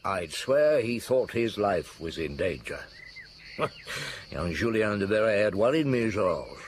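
An actor playing a wry detective in a radio drama, chattily recounting a case to a friend. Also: male voice, fairly low pitch, sing-song, slow pace. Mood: wry, reflective. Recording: old radio broadcast with background noise.

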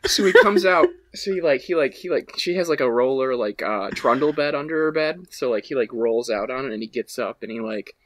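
A man's voice: mid-range voice, lively intonation, brisk, hardly any pauses, a bit bright. A man in his twenties, a podcast co-host on a video call, telling a story casually with "like" scattered through it. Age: 20s. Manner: peppers talk with "like". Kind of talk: casual rambling storytelling with friends. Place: small room at home, indoors.

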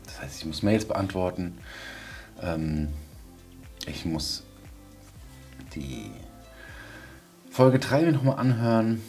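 A man in his forties speaks in a deep, low register, as if thinking aloud for a casual vlog. He takes his time and pauses between thoughts. Music plays faintly behind him. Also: expressive, a little mellow. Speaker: vlogger, audio drama maker. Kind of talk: casual video diary. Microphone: wireless clip-on lavalier.

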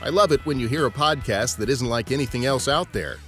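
A man's voice: medium pitch, fairly quick, a bit bright. An American man hosting a podcast, reading scripted narration. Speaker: podcast host. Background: guitar music.

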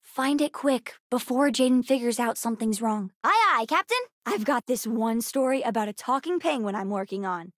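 A woman voicing a bright, bookish, spirited young girl in a video game, talking in an earnest, chatty, childlike way. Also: a little high, animated, moderate pace, hardly any pauses, dry recording, clean studio sound. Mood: bright, eager. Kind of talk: acted video game character dialogue.

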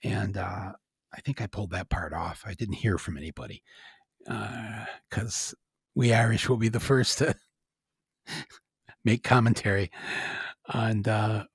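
A man in his sixties with a gravelly, very husky voice, musing through a loose podcast monologue. He rambles and laughs at himself, filling gaps with "uh" and "um". The sound is close and dry. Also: on the low side, measured pace, pauses often, no room sound. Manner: rambling, self-deprecating, with chuckles and frequent "uh". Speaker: podcast host. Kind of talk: casual monologue.

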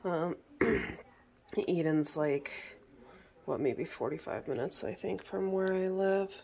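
A young woman in her kitchen chatting casually to her phone camera, clearing her throat now and then. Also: fairly low pitch, takes it slowly, dark tone. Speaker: vlogger. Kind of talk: casual home vlog narration. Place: home kitchen, indoors.

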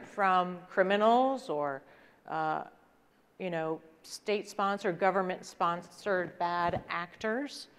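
A middle-aged woman presents from a conference stage in a conversational way. Her voice is fairly low and cleanly resonant, ringing out in a large reverberant hall. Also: clean tone. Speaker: conference speaker, corporate executive. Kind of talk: informal business presentation. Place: large indoor conference stage before an audience. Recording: echoey hall with long reverb.

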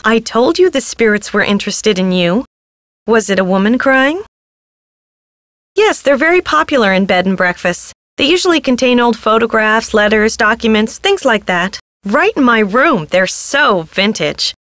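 Game innkeeper: affected mystic, dramatic and airy, higher register, dry studio sound. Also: female voice, fairly bright tone, no room sound. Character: theatrical mystical woman innkeeper devoted to the spirits. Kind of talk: video game character dialogue.

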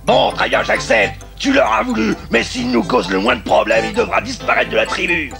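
A man's voice: high voice, big pitch swings, normal speed, gravelly, heavily acted. A man playing a stubborn, menacing gorilla clan leader in a French cartoon dub, grumbling and commanding. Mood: grudging, grumpy. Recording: loud music behind the voice.

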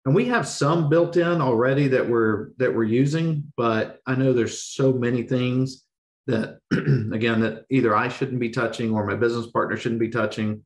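Man, middle-aged, hoarse podcast host, easygoing and rambling, video-call sound. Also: medium pitch, normal speed. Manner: relaxed, rambling, with restarts. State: hoarse, losing his voice. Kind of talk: casual podcast interview. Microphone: video call webcam.

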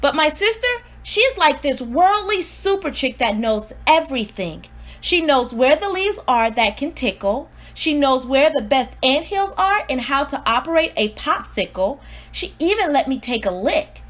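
A woman voicing a precocious, self-important newborn baby diarist, earnest and exclamatory, in an expressive storybook read-aloud. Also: high voice, measured pace, heavily acted. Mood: wondering admiration.

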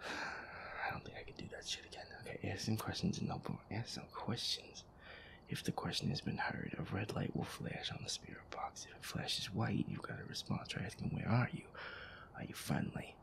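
A man playing a feisty, sweary werewolf boyfriend who grumbles his way through a horror game in an audio roleplay, his voice breathy and gravelly. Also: lowish voice, fairly even intonation, normal speed, fairly bright tone, in a whisper. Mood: nervous, irritated. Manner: exasperated, sweary grumbling, reading game text aloud. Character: feisty werewolf boyfriend, grumpy, sweary, easily scared gamer.

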